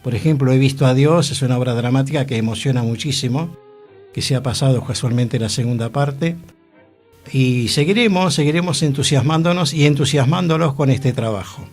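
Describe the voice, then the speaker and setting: A man with a middle-pitched voice speaks eagerly on a studio radio program. His tone is earnest and full of enthusiasm. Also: a little fast, faint music behind. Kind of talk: radio discussion.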